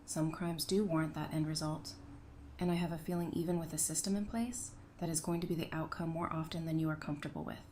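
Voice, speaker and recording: A woman playing a candid, caring, level-headed survivor in an audio drama, speaking in a measured, frank way. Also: low-pitched, fairly even intonation, darkish tone, natural voice. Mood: frank, serious. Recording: faint background noise and ambience.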